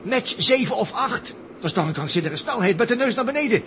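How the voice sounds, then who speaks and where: An urgent excitable spaceman, old dutch radio drama, voice swings all over, noisy tape. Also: very high voice, fairly quick, exaggerated voice. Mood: alarmed. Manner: urgent, exclamatory. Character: excitable male astronaut anxiously watching a crewmate crash. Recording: noisy early-1960s radio drama recording.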